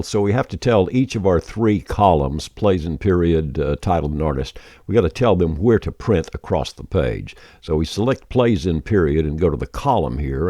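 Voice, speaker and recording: A man presenting a casual, folksy software tutorial as a voice-over on a close microphone. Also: very deep voice, no echo. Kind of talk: casual screen-share software walkthrough.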